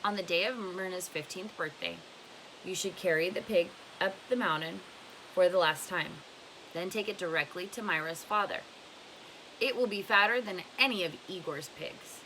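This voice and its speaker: A woman's voice: lowish voice, normal speed, sharp tone, slight background noise, poor audio. A woman reading a storybook as a blunt, scornful old woman who gives emphatic advice. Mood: firm, instructive. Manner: emphatic, questioning, instructive.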